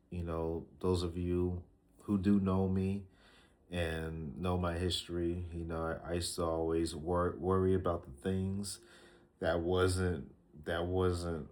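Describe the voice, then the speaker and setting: A man in his early thirties talking to a camera, giving casual life advice in a slow, reflective way, often repeating himself with fillers and restarts. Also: low voice. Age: 30s. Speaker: vlogger.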